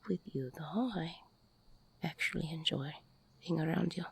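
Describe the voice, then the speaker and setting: A woman playing an older, tough ex-con girlfriend in an intimate audio roleplay, tender, teasing and insecure, confiding haltingly in a husky, half-whispered voice. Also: deep voice, full character voice. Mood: tender, confessional. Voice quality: husky, gravelly, breathy.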